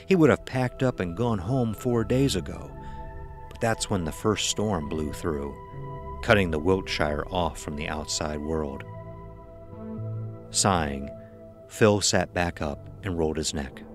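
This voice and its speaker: A man narrating a scripted horror story in a measured, ominous manner. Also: sits low, soft background music.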